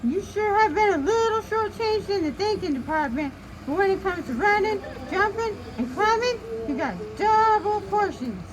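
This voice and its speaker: A woman's voice: lively intonation, normal speed. A woman playing a small, sly red squirrel with a taunting, folksy manner in a storytelling puppet show. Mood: mock admiring. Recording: noisy outdoor live recording, traffic rumble.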